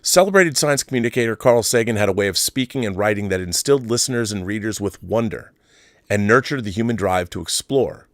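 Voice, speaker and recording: Low-voiced man hosting, dry close sound. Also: animated, a little fast, no room sound. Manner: conversational asides, repeats himself for emphasis. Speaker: livestream host. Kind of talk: casual live commentary, reading out comments and articles.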